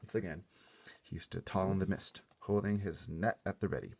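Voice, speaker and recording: A man reading a children's story aloud as an expressive narrator. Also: sits low, normal speed, vocal fry. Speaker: storybook reader.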